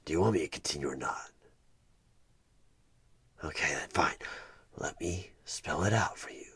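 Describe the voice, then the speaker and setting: Man performing a husky, very deep devilish recruiter. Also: pushed and bright, heavily acted. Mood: impatient, condescending. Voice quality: gravelly, husky, often breaking into whisper. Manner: slow, deliberate, coaxing, condescending. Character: sinister devilish male recruiter, smug soul-buying tempter. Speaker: roleplay performer playing a job interviewer. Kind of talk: one-sided horror ASMR audio roleplay.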